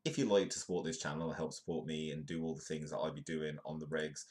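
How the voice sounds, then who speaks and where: Stuffy-nosed British man with a very deep voice. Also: steady tone, quick pace, a little mellow. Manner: chatty, joking, reads news aloud. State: congested, stuffy nose. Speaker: host. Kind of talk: comedic live news show.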